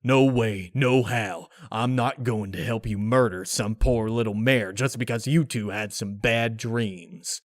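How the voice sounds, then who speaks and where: A man voicing a big, gentle but stern farm pony who talks in a slow, grave, folksy way for a story reading. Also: on the low side, no room sound, studio quality, close to an everyday voice. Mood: upset, disapproving.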